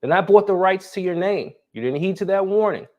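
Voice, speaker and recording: A man's voice: high-pitched, lively intonation, fairly quick, darkish tone. A man with an American accent hosting a casual interview, talking in a boastful, profane way. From North Philadelphia and Brooklyn, United States. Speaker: interview host. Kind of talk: casual conversation.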